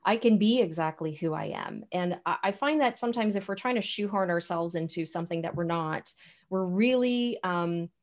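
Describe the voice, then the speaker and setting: Low-voiced woman chatting on a video call. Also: moderate pace. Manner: affirming, leans on "you know" fillers. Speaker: co-host of an online coaching talk. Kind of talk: casual conversational chat.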